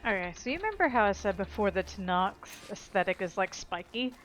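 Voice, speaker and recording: A woman's voice: mid-range voice, big pitch swings, brisk, faint music behind. A woman giving casual, chatty commentary as the host of a video game playthrough. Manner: informal, chatty. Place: voice-over on game audio.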